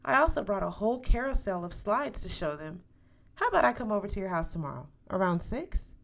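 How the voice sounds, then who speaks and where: A woman reading the part of an eager, encouraging male school recruiter in a story, with a polite, enthusiastic pitch. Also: sing-song, a little fast, creaky. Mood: upbeat, encouraging.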